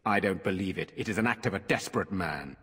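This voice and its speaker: An actor playing a grave, courteous noble lord in a fantasy game, speaking formally and earnestly in a reverberant stone hall. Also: male voice, sits low, lively intonation, fast, a bit bright, slightly rough, exaggerated voice. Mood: earnest, wry. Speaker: game character. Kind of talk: dramatic fantasy game acting.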